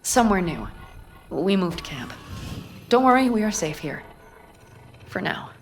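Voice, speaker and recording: A woman's voice: medium pitch, sing-song, unhurried, soft background music. An actress playing a blunt, concerned young nomad woman in a video game, speaking earnestly in formal, uncontracted phrasing. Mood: reassuring. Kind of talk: naturalistic video game acting.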